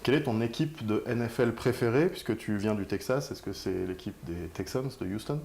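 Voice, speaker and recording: A man's voice: on the low side, a little fast, vocal fry, a little mellow. A man presenting an interview for a sports club web channel, speaking as the host.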